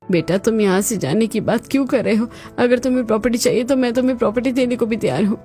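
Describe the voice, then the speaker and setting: A woman's voice: a little high, fast talker, dark tone, soft background music, lightly acted. A woman voicing an older, remorseful Indian mother-in-law in an earnest, melodramatic cartoon family drama. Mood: pleading.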